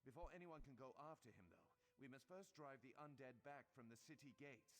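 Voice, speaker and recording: An actor playing an earnest, worried royal aide in a fantasy video game, speaking formally. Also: male voice, medium pitch, fairly quick, a bit bright, lightly acted. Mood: earnest, instructive.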